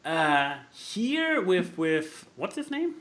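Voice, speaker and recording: A man chatting casually about films as a podcast host, in an animated, rambling way that often stalls and repeats itself mid-thought. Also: high-pitched, extremely slow. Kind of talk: casual film chat.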